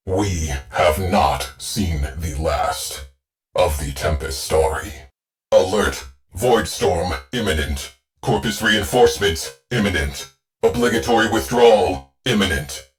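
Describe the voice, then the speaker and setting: A man voicing a terse male ship AI in a video game, speaking in clipped, deadpan military phrases. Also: deep voice.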